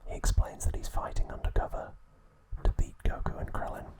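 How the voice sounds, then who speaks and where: A man narrates in a full, breathy whisper, with no voiced tone. He speaks as an ASMR host giving a recap. His delivery is slow and measured, one phrase at a time.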